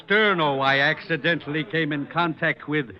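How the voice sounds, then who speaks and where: An actor playing a boozy, grandiloquent old skid row informant in a 1940s radio crime drama. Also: male voice, a little high, normal speed, lightly acted. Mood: pompous, boozy. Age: older. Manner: grandiloquent, theatrical, flowery. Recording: dull vintage radio recording.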